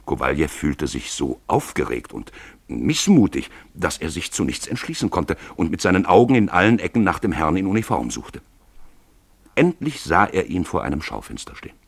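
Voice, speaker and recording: A man narrating a literary radio play in a theatrical, expressive style, stretching words for emphasis. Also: deep voice, normal speed, breathy edge, dry recording.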